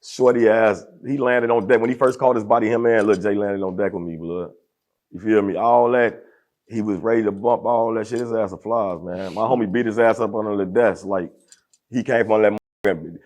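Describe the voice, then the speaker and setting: A man with a deep voice speaking as an interview guest in casual, aggressive street slang. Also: darkish tone.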